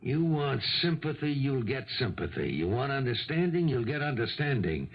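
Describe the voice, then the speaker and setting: An actor playing a gruff, insistent police lieutenant with a gravelly, sardonic delivery in a 1970s radio drama. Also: male voice, medium pitch, sing-song, moderate pace. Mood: sardonic, pressing. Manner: hard-nosed, sardonic. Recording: dull lo-fi 1970s radio recording.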